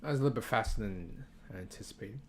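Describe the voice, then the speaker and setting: Male game streamer, lowish voice, off-the-cuff thinking aloud in short bits, noticeable noise and clicking behind. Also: fast talker. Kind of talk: casual gameplay commentary. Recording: clearly noisy, clicking sounds.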